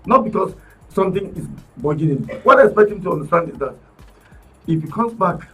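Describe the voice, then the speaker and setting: Man, Nigerian accent, animated radio panel talk, a bit distant from the mic, faint music behind. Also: high-pitched, fairly quick. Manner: animated, emphatic, restarts words. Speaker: political commentator guest. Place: radio studio.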